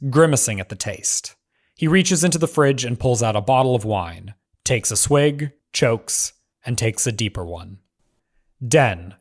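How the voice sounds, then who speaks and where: A man narrating screenplay stage directions in measured, clipped phrases. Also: medium pitch.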